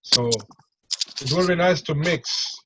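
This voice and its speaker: A man with an Argentine Spanish accent talking casually in an interview, repeating words in halting non-native English. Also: fairly high pitch, pushed and bright, a little hiss or hum, rough recording. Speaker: musician, percussionist and drummer interviewed.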